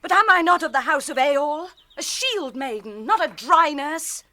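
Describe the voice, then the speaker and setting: An actress playing a proud, fierce young noble shieldmaiden in a radio drama, impassioned and formal. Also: female voice, high voice, lively intonation, normal speed, bright, forceful tone. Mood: proud, defiant. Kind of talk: classic radio drama acting.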